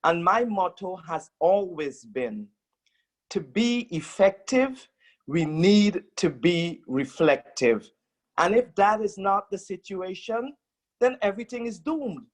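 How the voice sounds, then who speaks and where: A woman adding a short, deliberate comment to a panel discussion. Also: mid-range voice, animated. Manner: slow, deliberate. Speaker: panel participant.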